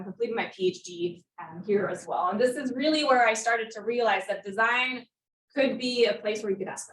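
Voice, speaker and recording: A woman's voice: mid-range voice, fairly bright tone. Woman lecturing in an echoey room. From Montreal, Canada. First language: French. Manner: friendly, hesitant with fillers, laughs lightly. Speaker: guest lecturer, design professor. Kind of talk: academic talk. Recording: echoey room, distant mic.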